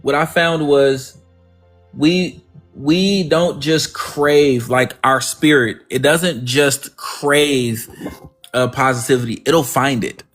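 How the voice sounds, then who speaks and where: A man hosts a relaxed livestream on positivity. He is effusive and keeps repeating words of thanks. His voice sits at an ordinary pitch over faint background music. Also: slow pace. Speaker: livestream host. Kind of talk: casual positive-affirmation talk.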